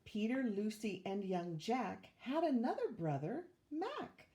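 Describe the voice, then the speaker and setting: An older woman reading a rhyming children's picture book aloud with measured, expressive storytelling. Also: on the high side. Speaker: volunteer storybook reader, retired teacher.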